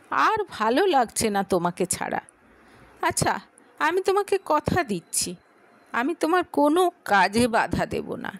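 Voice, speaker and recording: A woman plays an insincere husband in an audio story drama. He pleads and coaxes in a high voice, taking his time over each phrase. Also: no room sound. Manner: coaxing, imploring.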